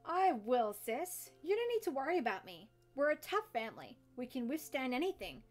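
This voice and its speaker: A woman's voice: sits high, big pitch swings, moderate pace. A woman voicing an eager, plucky young female pony in a dramatic reading, with a bubbly, earnest manner. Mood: reassuring. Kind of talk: dramatic reading of a fan story. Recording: music under the voice.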